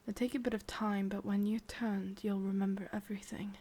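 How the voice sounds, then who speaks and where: A woman with an English accent playing a lovelorn, obsessive immortal witch in a breathy, intimate whispered ASMR roleplay. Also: mid-range voice, level tone, lightly acted. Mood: wistful, yearning. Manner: slow, hushed, intimate delivery with pauses.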